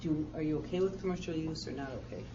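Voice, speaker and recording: A woman's voice: sits low, rapid-fire. A plain-spoken woman leading an informal board meeting discussion and asking the others for their views. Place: meeting room. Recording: dull, noisy, distant meeting recording.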